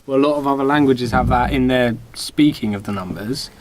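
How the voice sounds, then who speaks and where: A man's voice: medium pitch, brisk, darkish tone. A man co-hosting a podcast in casual banter, with a dry, joking manner. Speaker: podcast co-host. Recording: clearly noisy background.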